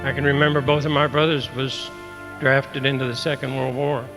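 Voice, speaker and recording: A man in his eighties with a rural American accent, recalling his past in a halting, grave way as a veteran. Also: mid-range voice, flat delivery, rough recording. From rural United States. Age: 80s. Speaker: war veteran. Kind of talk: personal reminiscence. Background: music.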